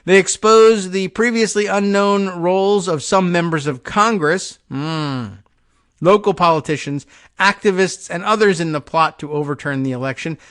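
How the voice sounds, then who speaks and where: Male talk host, higher voice, dry close sound, reads the news then riffs sarcastically. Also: moderate pace, fairly bright tone, no echo. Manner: sardonic asides between read passages. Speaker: political podcast host. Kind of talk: reading news aloud with offhand commentary.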